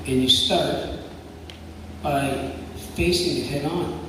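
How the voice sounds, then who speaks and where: A man answering as a candidate at a public debate, firm and emphatic in his delivery. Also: on the high side. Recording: echoey hall from far off, noisy.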